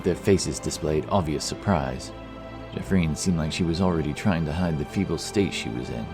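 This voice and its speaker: A man's voice: extremely low pitch, moderate pace. A man reading scripted narration for a fantasy audiobook. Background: music.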